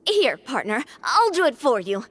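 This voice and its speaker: A woman voicing a curious, playful young woman in a video game, expressive and teasing. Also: high-pitched, normal speed, husky, full character voice. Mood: curious, flustered.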